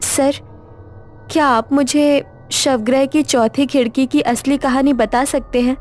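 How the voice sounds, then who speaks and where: High-pitched, uneasy woman doctor played by an actress. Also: fairly even intonation, unhurried, darkish tone, soft background music. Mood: anxious questioning. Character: uneasy, inquisitive young woman doctor on mortuary duty. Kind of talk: cartoon horror story dialogue.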